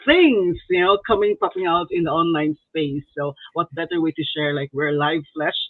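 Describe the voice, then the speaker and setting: A woman's voice: low voice, moderate pace. A woman hosting a casual live-stream interview in a chatty, informal, rambling way over an online call. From outside Canada, immigrated. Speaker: interview host. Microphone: online video call. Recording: roomy, tinny phone-like online call.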